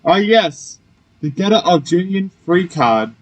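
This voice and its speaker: A man's voice: high voice, lively intonation, unhurried, poor audio. A man giving casual, reactive commentary as he plays through a game. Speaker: gamer playing through a story quest. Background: game music.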